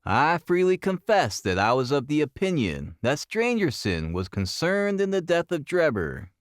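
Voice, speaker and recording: An earnest, methodical Victorian police detective , voiced by a male audiobook reader, delivers a formal report. His pitch rises and dips expressively, in a dry, close recording. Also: big pitch swings, no echo. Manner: formal, deliberate storytelling.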